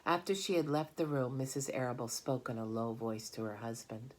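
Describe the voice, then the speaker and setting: A woman's voice: rumbling low, fairly even intonation, a little fast. A woman narrating a children's storybook aloud as its reader.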